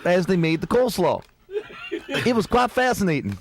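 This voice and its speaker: A man's voice: high-pitched, big pitch swings, moderate pace. A man telling a rambling personal anecdote.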